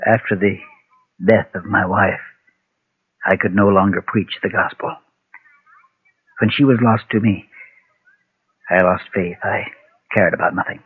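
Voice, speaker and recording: An actor playing a guilt-ridden former preacher and gunfighter, solemn and halting, in a Golden Age radio western. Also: male voice, sits low, fairly even intonation, husky, exaggerated voice. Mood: haunted, sorrowful. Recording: muffled, thin vintage radio recording.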